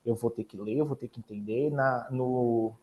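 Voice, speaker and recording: A man's voice: medium pitch, fairly even intonation, moderate pace, dull audio. A man, an exchange program advisor explaining in casual chat and addressing viewers directly.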